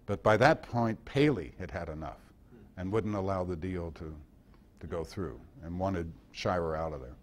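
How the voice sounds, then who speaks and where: Low-voiced American man speaking thoughtfully. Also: animated, slight background noise. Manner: hesitant, thoughtful, anecdotal delivery with long pauses. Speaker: guest speaker and author at a club meeting. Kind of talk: informal talk answering audience questions. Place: indoor club meeting.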